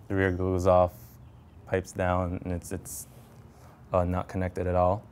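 A young man giving a casual, matter-of-fact technical walkthrough as a bike builder, in a halting way. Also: sits low, a little mellow, slight background noise. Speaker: custom motorcycle builder. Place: home garage with door open.

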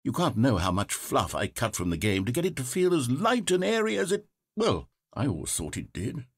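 A fussy, self-important game narrator, with a slightly husky tone and very lively pitch swings. His sarcasm is dry and theatrical, swinging between indignation and wounded pride. The recording is close and completely dry. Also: moderate pace, dry recording, full character voice. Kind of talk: scripted video game narration. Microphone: close studio mic.

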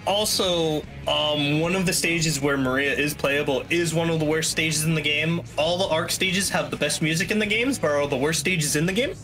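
Higher-pitched man rambling over game music. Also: normal speed, few pauses, poor audio. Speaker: speedrunner. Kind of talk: casual commentary on a game speedrun. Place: small room at home, indoors. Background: video game soundtrack music.

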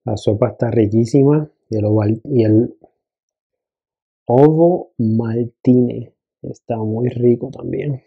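A man's voice: medium pitch, takes it slowly, creaky, slightly rough, no echo. A man narrating a travel vlog in a casual, chatty way, speaking into a handheld camera as he walks. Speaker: travel vlogger. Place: mostly outdoors on mountain hiking trails. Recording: dull, noisy outdoor handheld recording.

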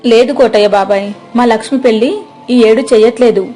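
A woman narrating a moral story and voicing the village wife, in a gentle, plain, homely way. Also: a little high, fairly even intonation, fast, faint music behind.

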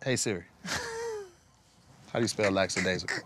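A man's voice: low voice, fairly even intonation, a little fast, a bit bright, slight background noise. An American man in his thirties, a comedian bantering casually in a studio. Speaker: comedian contestant. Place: podcast studio, indoors.

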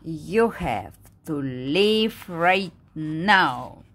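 Very slow, expressive woman reading aloud. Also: low voice. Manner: slow, dramatic, expressive delivery with simple explanations. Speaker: picture-book reader. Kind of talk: read-aloud storytelling with asides to young listeners. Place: indoors at a table.

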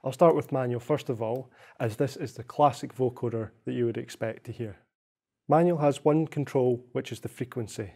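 A young man narrating a software tutorial in a measured, explanatory way. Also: mid-range voice, breathy edge. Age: young adult. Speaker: software demonstrator.

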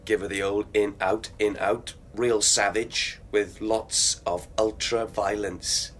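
A man's voice: on the low side, fairly even intonation, slow pace, a bit bright, slight background noise. A man acting a cocky, violent young delinquent in a radio drama, with insolent, theatrical delivery. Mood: gleeful menace. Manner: insolent, theatrical slang-laden talk. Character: cocky, violent young male delinquent narrator, gleefully savage.

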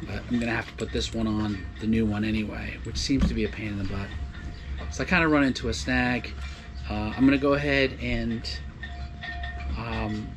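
A man's voice: on the low side, fairly even intonation, normal speed, background noise. A man in his fifties casually explaining a hands-on project, thinking aloud tentatively as he goes, recorded on a boat. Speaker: do-it-yourself boat vlogger. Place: open-sided boat cockpit at a marina.

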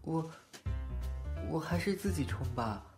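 A man plays a timid young man in an audio drama. He speaks slowly and softly, hesitating shyly, with music behind him. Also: on the high side, animated. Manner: hesitant, soft-spoken. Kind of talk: Chinese audio drama acting.

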